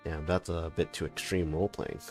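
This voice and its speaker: A man streaming a video game, casually reacting and joking with loud outbursts and swearing. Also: low voice, steady tone, a little fast, soft background music. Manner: playful and swearing, reacting loudly. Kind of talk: casual gameplay commentary.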